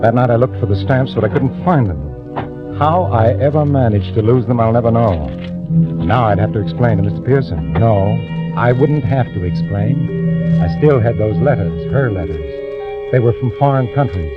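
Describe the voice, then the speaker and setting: Secretive, nervous clerk played by a man, very animated. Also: medium pitch, lightly acted. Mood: calculating. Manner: confiding in narration, halting and deferential in dialogue. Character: meek male office employee, secretive, guilty, nervously deceitful. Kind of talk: 1940s radio acting, first-person narration and dialogue. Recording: vintage radio recording, muffled, music bed.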